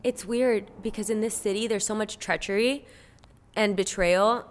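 A woman's voice: medium pitch, fairly even intonation, moderate pace. A woman in her twenties from Cuba, a podcast guest talking candidly and earnestly in casual chat, with many filler words. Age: 20s.

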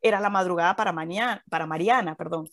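A woman's voice: fairly low pitch, fast talker, fairly bright tone, dry recording, studio quality. A Venezuelan woman in her thirties co-hosting a podcast, chatting casually with many fillers and restarts. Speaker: podcast co-host.

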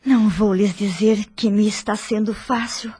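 An actress playing a dignified, forgiving middle-aged wife in a radio drama, with a Brazilian accent and an earnest, formal delivery. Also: female voice, mid-range voice, steady tone, normal speed, warm tone, slightly rough. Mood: earnest, resolute.

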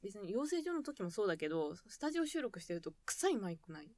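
Quick blunt lady cohost chatting w friends, some chatter around her. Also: mid-range voice. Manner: blunt, colloquial, animated. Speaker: podcast co-host, amateur voice drama maker. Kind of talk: casual chat among friends.

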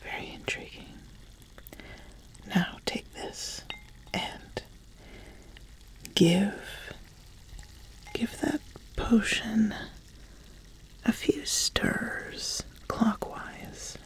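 A woman voicing an ambitious, appraising witch in a breathy whisper for a fantasy ASMR roleplay, deliberate and given to repeating phrases. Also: mid-range voice, steady tone, low-quality recording. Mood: intrigued, approving. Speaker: ASMR host.

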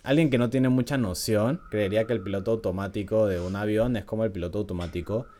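Low, mellow-voiced man telling a story. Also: quick pace. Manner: chatty, laughs often, frequent "¿no?" tags. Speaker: podcast host. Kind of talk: casual storytelling podcast.

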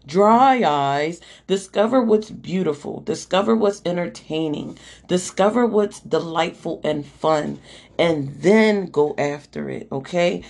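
Woman, hoarse, gravelly, slow-paced, casual podcast host, oracle card reading, slangy. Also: on the low side, a little mellow. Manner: chatty and slangy, swears, keeps asking "okay?" while reading card texts aloud. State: losing her voice, hoarse.

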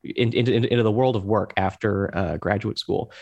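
A man in his thirties from Hong Kong, a guest in a casual talk, speaking haltingly with many restarts and fillers. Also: fairly low pitch, fairly even intonation, vocal fry, darkish tone, muffled recording. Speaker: guest, chair of an overseas Democrats group. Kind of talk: casual conversation.

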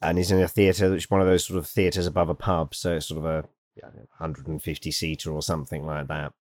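A male podcast co-host with a very low, husky voice. He chats in an easygoing, rambling way. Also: little pitch movement, quick pace, darkish tone, no echo, studio quality. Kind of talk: casual chat.

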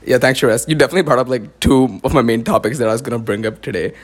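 A young man hosting his own podcast, talking quickly and loosely. He fills his sentences with "like" and restarts, in a relaxed chat with a friend. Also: medium pitch. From born in the US, raised in India. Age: young adult. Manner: fast, filler-heavy talk with many "like"s. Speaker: podcast host.

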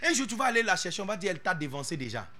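A man preaching a sermon as pastor, animated and playful, dropping English interjections into his talk. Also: sits high, racing, soft background music.

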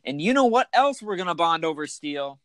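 A man with a raspy voice co-hosting a casual podcast, talking in an enthusiastic, joking, rambling way. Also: a little high, sing-song, fairly quick, fairly bright tone, dry recording, studio quality. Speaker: podcast co-host. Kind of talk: casual chat.

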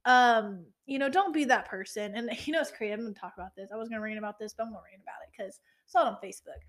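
A woman's voice: on the high side, very fast. A woman in her twenties co-hosting a podcast in casual true-crime chat, chatty and swearing, with ranting asides. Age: 20s. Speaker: podcast co-host.

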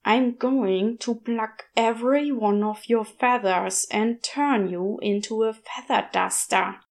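A woman's voice: a little high, slow pace, vocal fry. A woman voicing a wily, easily vexed male demon who mutters, grumbles and snarls. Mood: furious.

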